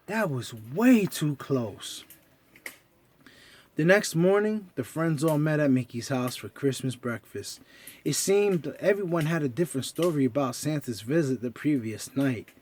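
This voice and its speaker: Higher-voiced man telling a children's story. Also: animated, moderate pace, a little mellow. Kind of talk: expressive children's story narration.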